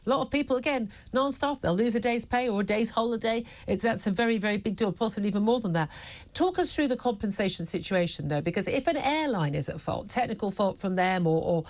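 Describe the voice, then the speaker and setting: A woman's voice: a little high, animated, fast. A British woman presenting a live news interview in a chatty, emphatic and opinionated way.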